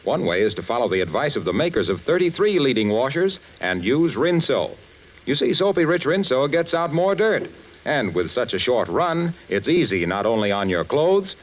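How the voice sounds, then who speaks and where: A man announcing in scripted 1940s radio style, with a folksy salesman's patter. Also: medium pitch. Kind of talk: 1940s scripted sponsor narration. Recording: dull vintage radio recording.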